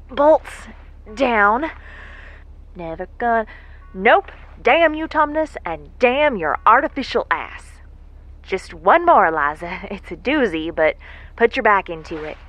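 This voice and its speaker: Tired, salty woman space engineer: lowish, unhurried, self-amused muttering, music underneath. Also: a bit bright, lo-fi sound. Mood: straining, exasperated. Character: weary, salty, wisecracking woman moon engineer working alone. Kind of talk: audio drama monologue.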